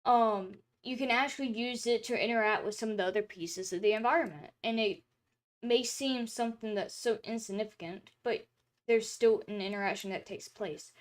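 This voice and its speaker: A woman's voice: a little high, moderate pace. Chatty young woman rambling on stream. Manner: rambling, chatty, lots of filler words and restarts. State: throat drying, slightly hoarse. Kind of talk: casual stream chat.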